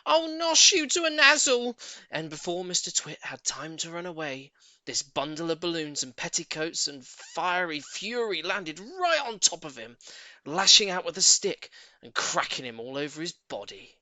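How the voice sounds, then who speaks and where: A man narrating a children's audiobook in an expressive, theatrical and emphatic storytelling manner. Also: on the high side, normal speed, no room sound.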